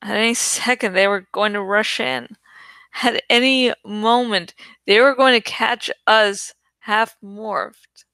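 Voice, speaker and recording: A woman narrates adventure fiction with expressive suspense. Her voice is bright and smooth, a little gravelly at the edges. She takes her time with each line. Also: mid-range voice, slow, no echo. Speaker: audiobook reader. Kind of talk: dramatic scripted narration of a young adult novel.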